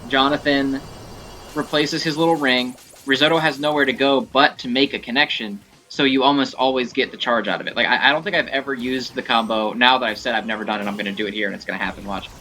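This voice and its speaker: A man giving casual gameplay commentary, thinking aloud in a halting, self-correcting way as he explains things. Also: mid-range voice, fairly even intonation, pushed and bright. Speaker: gaming video host. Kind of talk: casual rambling game commentary.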